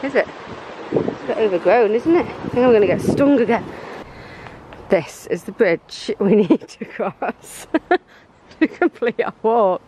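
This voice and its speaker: A woman's voice: fairly high pitch, measured pace, mellow, a little hiss or hum, rough recording. A woman in her thirties chatting casually and with giggles into a handheld camera while walking outdoors. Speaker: vlogger. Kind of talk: casual outdoor vlog chat. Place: outdoors on countryside footpaths. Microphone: handheld camera at arm's length.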